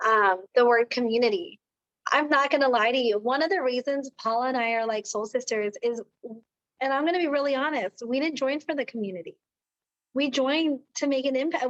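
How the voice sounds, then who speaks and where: A woman guest speaker who is losing her voice and squeaks a little, giving an excited, informal motivational talk on an online team call. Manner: excited, peppy, cheerleading. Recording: dull, lo-fi call audio.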